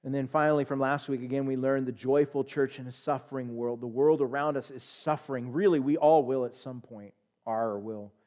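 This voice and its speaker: A man in his thirties with an American accent, preaching a sermon in a church sanctuary on a headset mic, swinging from loud emphatic peaks to quiet asides. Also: mid-range voice, fairly even intonation, a little fast, hardly any pauses. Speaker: pastor. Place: church sanctuary, standing at a pulpit.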